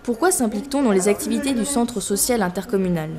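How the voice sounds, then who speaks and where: A woman's voice: mid-range voice, fast, crowd noise. A woman reading scripted narration for an informational documentary.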